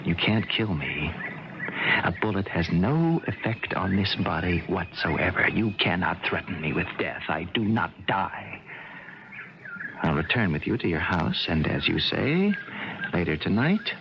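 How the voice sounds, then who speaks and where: An American actor playing an alien disguised as a young college-age son in a radio drama, evasive and coolly detached. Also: male voice, deep voice, sing-song, unhurried, vocal fry, heavily acted. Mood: cool, menacing. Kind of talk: 1980 radio drama acting. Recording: dull, hissy old radio recording.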